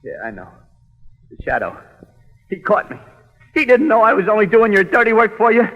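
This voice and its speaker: An actor playing a bitter, desperate young gangster on death row in old radio melodrama, with rough tough-guy diction and volatile delivery. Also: high-pitched, big pitch swings, a little fast, highs cut off. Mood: bitter, accusing. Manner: volatile, swinging from muttering to yelling. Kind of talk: 1930s radio melodrama acting. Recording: old radio recording, echoey cell-block hall.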